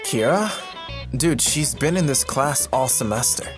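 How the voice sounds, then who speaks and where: Casting: man playing earnest, exasperated young guy student, pleading delivery with lively pitch swings, audio drama over music. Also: a little slow, close to an everyday voice. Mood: incredulous. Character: earnest, embarrassed, apologetic young male student. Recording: music under the voice.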